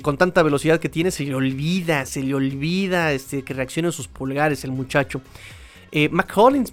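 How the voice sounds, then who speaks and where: Casting: Mexican male sports podcaster, fairly high voice, informal solo commentary with colloquial, chatty delivery.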